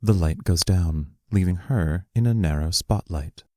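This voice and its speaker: A man reading the stage directions of a play aloud as scripted narration, plainly and deliberately. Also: sits low, dark tone, no echo, studio quality.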